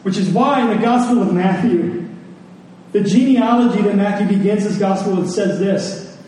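Echoey, mellow-voiced man preaching a sermon. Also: high voice, normal speed. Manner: emphatic, repeats phrases for weight; conversational asides and rhetorical questions. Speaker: pastor preaching. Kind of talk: church sermon. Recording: echoey room, distant mic, faint noise.

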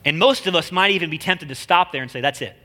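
A man's voice: fairly high pitch, quick pace, pushed and bright. A man with a nasal voice, a guest preacher giving a casual, joking sermon full of slang. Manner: chatty, joking, slangy delivery.

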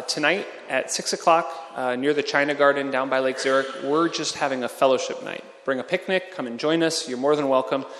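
A man's voice: medium pitch, fairly bright tone. A man leading a church service, welcoming visitors and giving announcements in a friendly, inviting way with fillers. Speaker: worship leader. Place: large church sanctuary. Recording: echoey church hall.

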